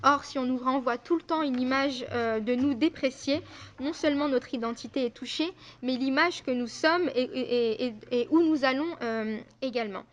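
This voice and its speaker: A woman's voice: high-pitched, moderate pace, dull audio. A young woman giving a conference talk, earnest and often hesitating with fillers. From France. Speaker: invited speaker. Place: conference room.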